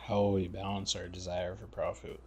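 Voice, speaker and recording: A man's voice: deep voice, monotone, fairly quick, a little mellow. A man giving casual live game commentary, muttering and thinking aloud as he plays. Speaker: game streamer.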